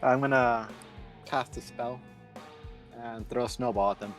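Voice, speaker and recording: Male tabletop player, medium pitch, understated short remarks, soft guitar music in the background. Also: steady tone, unhurried. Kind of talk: casual game talk.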